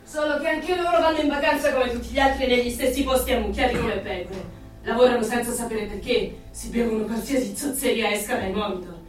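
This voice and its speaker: A woman's voice: a little high, fairly quick, distant mic, natural voice. An actress playing a bold, cynical, teasing rebel woman in an Italian radio drama, blunt and scornful. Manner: blunt, scornful, coarse-mouthed. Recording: echoey, off-mic radio play over music.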